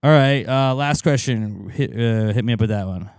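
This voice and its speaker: A man's voice: medium pitch, normal speed, darkish tone, smooth, no room sound, studio quality. A man co-hosting a podcast, talking in casual comedic banter with slangy, sweary phrasing. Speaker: podcast co-host.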